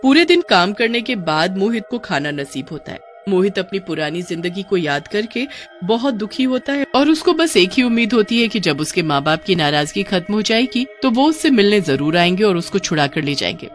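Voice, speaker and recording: A woman's voice: medium pitch, big pitch swings, normal speed, faint music behind. A woman storyteller giving dramatic scripted narration of a cartoon horror story.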